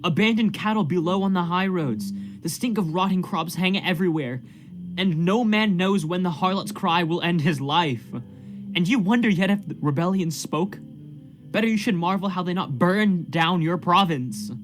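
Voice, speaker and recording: A man's voice: high-pitched, moderate pace, faint music behind, lightly acted. A man playing an anguished, guilt-ridden minister in a radio play, pleading fervently in formal, archaic period language. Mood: anguished, bitter. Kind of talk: period stage drama acting in a radio play.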